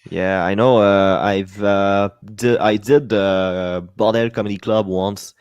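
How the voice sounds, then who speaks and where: Blunt low-voiced comedian, dry sound. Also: male voice, steady tone, slow pace, darkish tone, smooth, no echo, muffled recording. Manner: blunt, profane, emphatic. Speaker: stand-up comedian, podcast guest. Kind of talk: casual chat.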